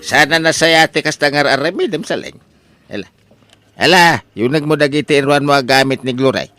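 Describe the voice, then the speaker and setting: A man's voice: fairly high pitch, lively intonation, fairly quick, pushed and bright, faint music behind. A man acting a lovestruck, exasperated elderly father in a comedy audio drama, emphatic and protesting, with an Ilocano accent.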